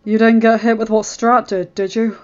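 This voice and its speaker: A woman voicing a gruff male gang leader who gives orders, in a narrated story. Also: a little high, flat delivery, moderate pace, darkish tone, highs cut off, close to an everyday voice. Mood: worried. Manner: barking, growling orders.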